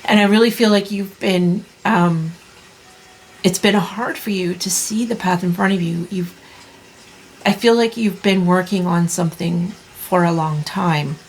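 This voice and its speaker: A woman in her thirties giving a tarot reading in a soft, reassuring way, clearing her throat as she loses her voice. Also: on the low side, fairly even intonation, slight background noise, low-quality recording. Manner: soft, slow, reassuring delivery with many restarts. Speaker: tarot reader. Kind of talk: pick-a-card tarot reading.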